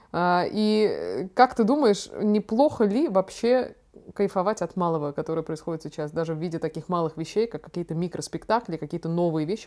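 Young woman on a podcast, warm dark tone, casual, thinks out loud while asking stuff. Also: lowish voice. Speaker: podcast co-host asking questions. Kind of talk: casual, thoughtful interviewing.

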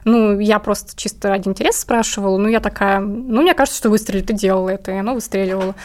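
A woman's voice: medium pitch, fairly even intonation, quick pace, darkish tone. A woman speaking casually and informally as an interview guest, telling about her own creative work. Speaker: guest, illustrator and project creator.

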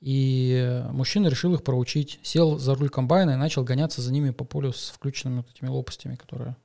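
Male podcast co-host in his thirties, dark gravelly voice, hesitant delivery. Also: medium pitch, steady tone, fast talker. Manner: hesitant, many fillers and restarts. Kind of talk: casual podcast chat and news reading.

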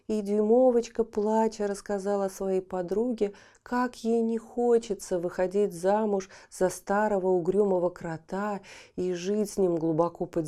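Lady reading a kids fairy tale, very warm dark tone, expressive, goes hushed sometimes. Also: mid-range voice. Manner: expressive storytelling, dropping to a hush at times. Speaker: audiobook narrator. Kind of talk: scripted fairy tale narration for children.

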